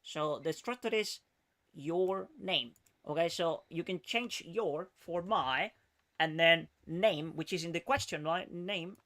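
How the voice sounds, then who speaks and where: A man's voice: fairly high pitch, slow pace, fairly bright tone. A man teaching English one-on-one, patiently explaining question words and checking in often with "okay?", sometimes explaining in Spanish.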